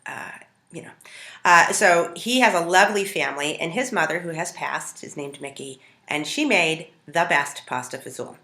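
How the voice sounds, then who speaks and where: An American woman hosts a home cooking video, talking casually and conversationally. Her voice sits low for a woman but has a bright, forward edge. The sound is roomy, with clear echo around her words. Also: normal speed. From Montana, United States. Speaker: home cook hosting a food video. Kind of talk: casual storytelling to camera.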